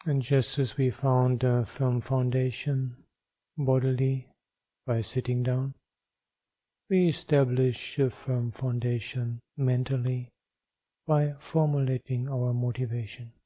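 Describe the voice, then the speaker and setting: A man guides a meditation in a very dark, mellow voice at a middling pitch. He speaks very slowly and leaves long pauses between phrases. His delivery is measured and instructional, as a teacher leading practice. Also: level tone, lo-fi sound.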